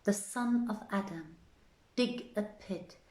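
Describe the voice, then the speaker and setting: A woman's voice: mid-range voice, slow, darkish tone. A woman reading an old tale as a storyteller, in theatrical, formal, archaic phrasing. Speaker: storyteller, reader. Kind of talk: scripted literary narration of an old tale. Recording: echoey, roomy sound.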